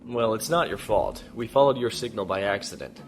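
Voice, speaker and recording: A man playing a calm, shrewd starship captain in a science fiction audio drama, speaking in a measured, deliberate way. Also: fairly low pitch, over music. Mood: analytical.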